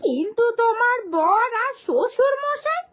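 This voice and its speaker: A woman's voice: extremely high pitch, sing-song, a little slow, fairly bright tone, full character voice. A woman voicing a worried, disapproving mother-in-law in a moral-story cartoon, with plaintive, fretful questioning.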